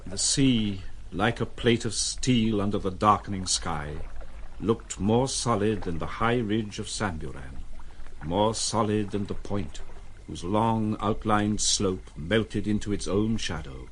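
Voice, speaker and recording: A man's voice: lowish voice, slow pace, a little hiss or hum. A man narrating a literary story in the manner of a dramatised audiobook.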